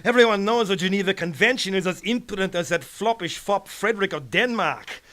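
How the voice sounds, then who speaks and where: A man's voice: high voice, a little fast, pushed and bright. A man playing a pompous, bombastic United Nations leader who blusters and cuts others off in a satirical radio serial. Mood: grandiose. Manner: grandiose, blustering, cutting others off.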